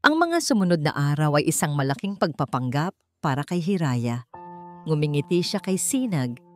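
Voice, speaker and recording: Low-voiced woman narrating a dramatic love story. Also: very animated, normal speed, soft background music. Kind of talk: dramatic scripted romance narration.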